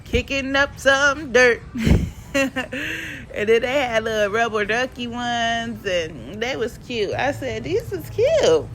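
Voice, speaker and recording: A woman's voice: a little high, animated, slow, fairly bright tone, slight background noise. Stuffy-voiced chatty woman vlogger. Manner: chatty, playful, slangy. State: stuffy and sniffling. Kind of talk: casual shopping vlog voiceover. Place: home, recorded voiceover.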